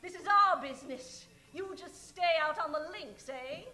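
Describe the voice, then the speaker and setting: A woman's voice: high voice, moderate pace, sharp tone, soft background music. A woman playing a haughty, tough-talking scheming princess villainess in an improvised radio parody, with a theatrical delivery. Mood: scornful, tough. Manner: imperious, slangy, theatrical. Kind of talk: improvised old-time radio acting.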